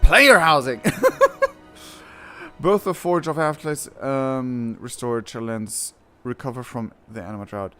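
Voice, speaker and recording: A man reacts to a game in a casual, rambling way. His voice sits at an ordinary pitch, and soft music plays in the background. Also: big pitch swings, slow pace. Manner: acts out mock quotes, rambling. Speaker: gamer reacting on his channel. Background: faint game music.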